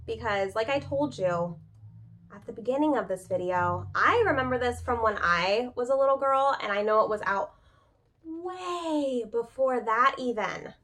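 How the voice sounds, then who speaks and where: A woman with a slightly high voice reads a picture book to young children in the manner of a preschool teacher. Her delivery is expressive and informal, moving between the story text and chatty asides that invite the listeners to answer. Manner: expressive, pauses to ask listeners questions. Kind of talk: children's storybook read-aloud with casual asides.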